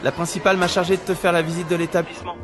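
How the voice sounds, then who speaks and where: Guy doing a teen schoolboy in a cartoon, composed, highish voice, music behind him. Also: flat delivery, very fast, lightly acted. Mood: polite, helpful. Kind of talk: animated series dialogue.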